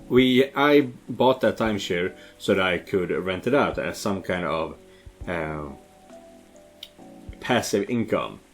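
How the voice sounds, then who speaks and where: A man from Sweden giving casual, rambling let's play commentary, hesitating as he goes. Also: on the low side. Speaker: streamer playing and commenting on a visual novel.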